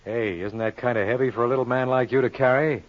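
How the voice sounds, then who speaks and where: Actor as a fast-talking, cocky lawyer-sleuth. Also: male voice, mid-range voice, steady tone, lightly acted. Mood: dry sarcasm. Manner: sarcastic, brisk, thinking out loud. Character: wisecracking, cocky lawyer-sleuth, tough and sardonic. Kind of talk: old-time radio crime drama acting. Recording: muffled old-time radio recording.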